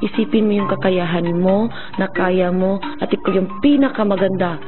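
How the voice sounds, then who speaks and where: A woman's voice: mid-range voice, brisk. Reflective woman contestant, tinny sound. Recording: distant, tinny sound with music.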